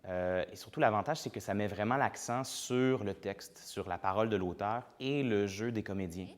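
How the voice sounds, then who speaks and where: A man's voice: fairly low pitch, moderate pace. A man speaking as a playwright in an interview, reflective and hesitant, with many fillers.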